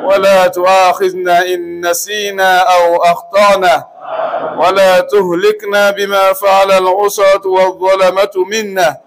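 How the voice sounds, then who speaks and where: A middle-aged man from Burkina Faso, an imam, loudly intoning a chanted supplication in prayer inside a large mosque hall. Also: high-pitched. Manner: intoned, calling out loudly over the congregation. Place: large mosque hall, indoors, congregation standing.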